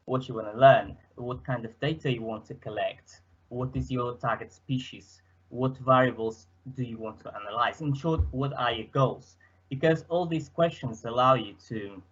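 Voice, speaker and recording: A man's voice: mid-range voice, fairly bright tone, muffled recording. A young man from Portugal with a Portuguese accent, presenting slides in a hesitant way, full of fillers and restarts, speaking into a headset. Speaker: student presenter.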